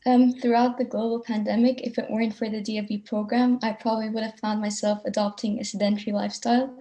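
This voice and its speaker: A woman's voice: a little high, little pitch movement, fast talker, dark tone. A teenage girl on a video call panel, giving a formal answer that sounds prepared.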